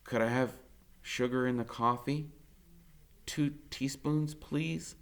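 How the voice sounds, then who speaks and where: A big, meek teenage boy in a storybook, read by a man, speaking in a medium pitch. He is polite, hesitant and a little awkward. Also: steady tone, slow, gravelly. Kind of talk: audiobook character voice.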